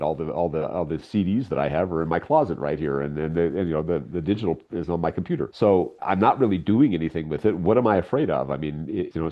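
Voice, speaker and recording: A man's voice: sits low, highs cut off. A middle-aged or older man speaking candidly and casually as an interview guest, often acting out imagined bits of dialogue. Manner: animated storyteller, acts out imagined dialogue. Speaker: interview guest, audio drama writer and director.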